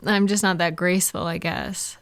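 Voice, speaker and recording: A woman's voice: fairly low pitch, fairly even intonation, normal speed, natural voice. A woman playing a caring, chatty girlfriend in an intimate one-sided roleplay audio, talking affectionately and reassuringly to a partner. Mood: sheepish, fond. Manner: soft-hearted, reassuring, playful, affectionate. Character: caring, gentle, chatty girlfriend comforting a night-owl partner.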